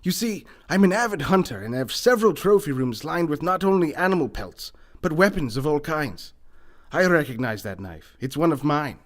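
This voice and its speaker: A man's voice: on the high side, big pitch swings, moderate pace. A man playing a pompous, wealthy, eccentric businessman in a comic radio mystery, speaking in a formal, theatrical way. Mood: matter-of-fact, pompous. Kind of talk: comedic old-time radio mystery acting.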